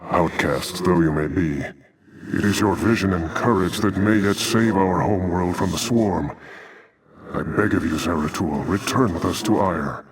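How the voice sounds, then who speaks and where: Noble alien leader for a game: low, grave, formal and solemn delivery. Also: male voice, measured pace, breathy edge. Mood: solemn pleading. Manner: formal, solemn, archaic phrasing. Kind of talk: dramatic video game voice acting.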